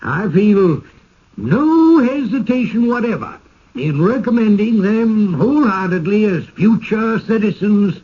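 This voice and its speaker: An actor playing a genial, insistent small-town village elder in a radio drama, with a folksy, courtly, speechifying manner. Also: male voice, high-pitched, lively intonation, a little slow, husky, exaggerated voice. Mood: pompous, persuasive. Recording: dull lo-fi old radio broadcast.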